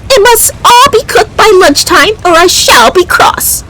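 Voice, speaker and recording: A woman's voice: very high-pitched, expressive, unhurried, sharp tone, voice pushed up, exaggerated voice. A woman voicing a bossy, posh young castaway girl from a video game, with prim, haughty, formal phrasing. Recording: clearly noisy background.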